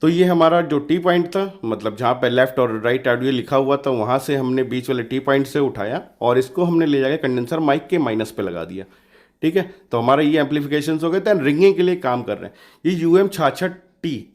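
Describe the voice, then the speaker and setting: A man with a slightly hoarse voice narrates a DIY electronics tutorial casually, explaining step by step in an informal, reassuring way. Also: mid-range voice. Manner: informal, explanatory, often adds reassuring check-ins. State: slightly hoarse from a sore throat.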